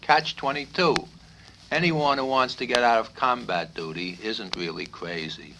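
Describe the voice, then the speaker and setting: A man's voice: mid-range voice, a little slow, natural voice. A man voicing a matter-of-fact, stubbornly logical army doctor in an audiobook. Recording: noisy 1970s vinyl record.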